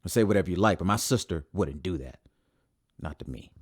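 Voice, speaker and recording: A man's voice: low-pitched, expressive, brisk, noisy background. An actor playing a bitter man who still grieves a lost sister, talking heatedly in an audio drama. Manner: heated, emphatic.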